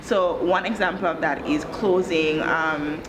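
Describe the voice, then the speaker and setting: A woman from Jamaica in her thirties, an education director answering interview questions in a thoughtful, articulate, measured way. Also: sits low, fairly even intonation, over a noisy crowd, rough recording. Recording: background noise.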